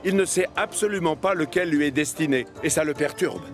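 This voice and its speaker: A man's voice: a little high, fairly quick, natural voice. A man narrating a children's train cartoon as its storyteller, in a scripted style. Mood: storytelling. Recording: loud music over the voice.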